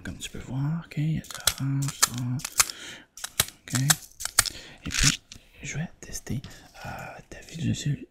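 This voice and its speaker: A person whispering softly in an ASMR eye exam roleplay, gently checking in with short questions. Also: mid-range voice. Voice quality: whispered, soft-spoken. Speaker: ASMR creator playing an eye examiner.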